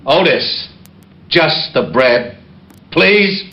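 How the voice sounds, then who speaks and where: An actor playing a harried, exasperated police lieutenant in a vintage radio crime drama, with curt, weary cop talk. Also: male voice, a little high, animated, very slow, heavily acted. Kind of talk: 1940s-50s radio crime drama acting. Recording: muffled, hissy old radio recording.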